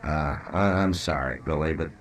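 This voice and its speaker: A man acting a steady, plain-spoken American frontier marshal in an old Western radio drama, dry and understated. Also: rumbling low, moderate pace. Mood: reassuring, weary.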